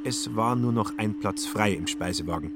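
A man's voice: lowish voice, moderate pace, noisy background. A man reading scripted literary narration as the narrator of a radio drama. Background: faint music.

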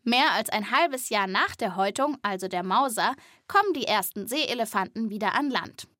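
A woman's voice: mid-range voice, expressive, moderate pace, pushed and bright, no echo. A woman hosting a children's podcast, telling stories as scripted narration and addressing young listeners directly, recorded in a studio.

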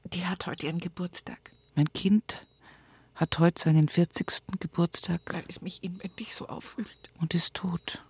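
An actress playing a grieving, devout old country mother in a radio play monologue, plain-spoken and often hushed. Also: fairly low pitch, steady tone, husky, no echo. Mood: devout, insistent. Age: older. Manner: slow, hushed, often whispering, folksy.

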